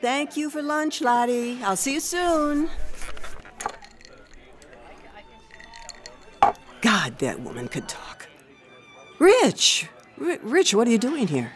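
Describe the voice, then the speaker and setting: A woman acting in a radio drama as a concerned, compassionate society aunt, earnest and expressive in her delivery. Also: on the high side, measured pace, faint music behind. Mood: surprised, sociable.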